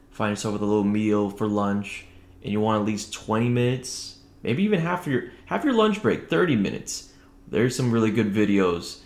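Low-voiced man podcasting in an echoey room. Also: darkish tone. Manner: conversational, keeping voice low late at night. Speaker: podcast host. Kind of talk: casual solo sports podcast commentary. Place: indoors at home, echoey room. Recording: roomy, echoing home recording.

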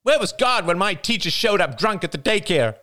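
A man's voice: fairly high pitch, very animated, normal speed, a bit bright, pitched above the performer's own voice. A man voicing a bitter, defiant young woman with a nasal voice that rises to a shriek.

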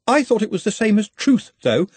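A man's voice: sits high, big pitch swings, a little fast, a little mellow, slightly rough, no echo, pitched above the performer's own voice, exaggerated voice. A man voicing an anxious, doubtful male academic wizard, a deferential underling, in an audiobook. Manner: doubtful, fretful. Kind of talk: audiobook character acting.

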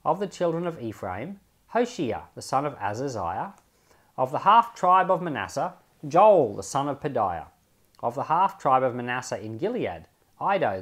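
A male pastor reads and explains scripture in a mid-pitched voice. His intonation swings widely up and down, while his phrasing stays measured and deliberate. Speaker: pastor and Bible podcast host. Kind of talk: scripture reading with informal commentary.

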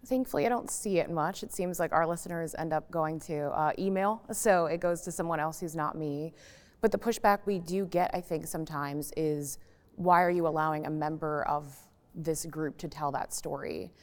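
Female radio journalist on a panel, notably deep register, conversational, heavy hall reverb around the voice. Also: normal speed.

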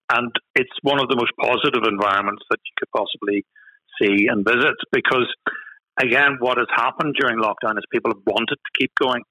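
A man's voice: fairly low pitch, pushed and bright, dry recording, low-quality recording. A middle-aged Northern Irish man, a guest on a podcast, talking with many fillers and restarts. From Northern Ireland. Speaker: guest, marketing consultant. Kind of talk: podcast interview.

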